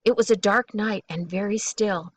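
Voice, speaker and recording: A woman reading a children's bedtime story aloud in an expressive, deliberate way, drawing out key words. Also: medium pitch.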